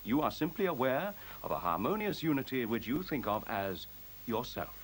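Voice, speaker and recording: An actor playing a self-assured, courteous computer in a radio play, speaking in articulate, formal, precisely lecturing phrases. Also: male voice, mid-range voice, big pitch swings, normal speed, natural voice. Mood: measured, explanatory. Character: self-assured, courteous sentient computer, male-voiced, coolly superior. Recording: faint tape hiss.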